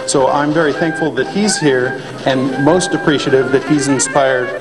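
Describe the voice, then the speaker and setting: A man's voice: mid-range voice, steady tone, normal speed. A man speaking as host of an event, explaining things to an audience in an auditorium. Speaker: host, professor. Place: large auditorium stage. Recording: echoey hall with music behind.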